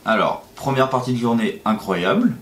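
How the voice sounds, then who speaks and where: A man's voice: medium pitch, expressive, normal speed, slight background noise. A young man from France, a native French speaker, casually recounting a day step by step as a vlog guest. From Nantes, France. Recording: echoey, roomy sound.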